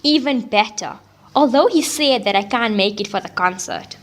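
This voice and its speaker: A woman's voice: on the high side, expressive, normal speed, close to an everyday voice. A woman playing a slangy, self-doubting teenage schoolgirl in an audio drama. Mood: casual, grumbling.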